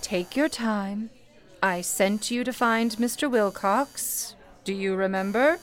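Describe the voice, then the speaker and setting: A woman's voice: medium pitch, natural voice. A woman playing a composed, motherly switchboard supervisor, formal and authoritative, in an old-time radio play. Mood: soothing, coaxing. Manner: formal, measured, authoritative, coaxing.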